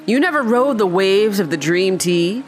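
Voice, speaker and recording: A woman with a mid-pitched voice gives casual commentary as she plays a game. She is chatty and joking, given to sarcastic asides. Soft music sits faintly behind her. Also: expressive, moderate pace. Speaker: gaming video host. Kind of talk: casual let's-play commentary.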